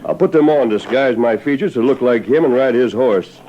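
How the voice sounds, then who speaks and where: A man's voice: medium pitch, moderate pace, lightly acted. An actor playing a resolute masked lawman cowboy in old western radio drama, speaking decisively and declaiming his lines. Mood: resolute planning. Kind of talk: 1940s-style western radio drama acting. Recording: dull, noisy old radio recording.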